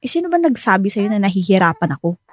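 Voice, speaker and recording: A woman's voice: medium pitch, big pitch swings, quick pace, no echo. A woman playing a patient young wife and devoted new mother in a Filipino radio drama. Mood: insistent, reassuring.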